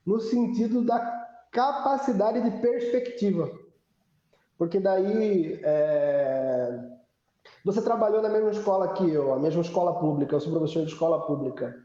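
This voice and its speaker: A Brazilian man, a history teacher, explaining his methods in a casual, halting way and posing questions to himself. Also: high-pitched, dark tone. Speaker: public school history teacher. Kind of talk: casual live online discussion. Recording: very echoey room, dull online audio.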